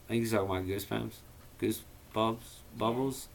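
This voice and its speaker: A man's voice: on the low side, fairly even intonation, brisk, creaky. A man reading a story aloud informally, with a theatrical, irreverent manner and profane casual asides. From US Midwest. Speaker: amateur audiobook reader.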